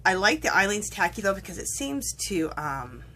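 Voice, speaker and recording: A woman's voice: mid-range voice, sing-song, normal speed, sharp tone. A woman talking casually through a paper craft tutorial at her table, rambling and correcting herself, sniffling from a cold. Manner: chatty, rambling, self-correcting. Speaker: crafting vlogger. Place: home craft table, indoors.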